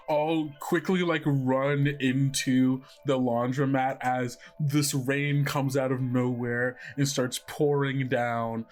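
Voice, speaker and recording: Rambling young male game master. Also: medium pitch. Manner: rambling descriptions full of likes and uhs. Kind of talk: narrating a tabletop game.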